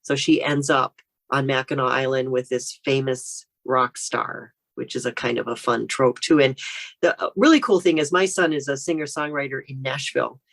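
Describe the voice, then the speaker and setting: A woman's voice: rumbling low, steady tone, normal speed, gravelly. An American woman speaking as a guest author, chatting casually and telling anecdotes in an interview.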